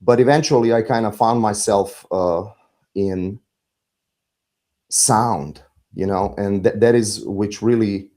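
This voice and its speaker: Mellow, low-voiced Serbian man, reflective. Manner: halting, philosophical. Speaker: podcast guest, musician. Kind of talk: reflective interview talk.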